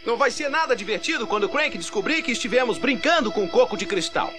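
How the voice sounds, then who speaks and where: A man with a Brazilian accent voicing a big, goofy, good-natured ape in a dubbed cartoon, expressive and easily exasperated. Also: extremely high pitch, quick pace, over music. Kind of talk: dubbed animated series acting.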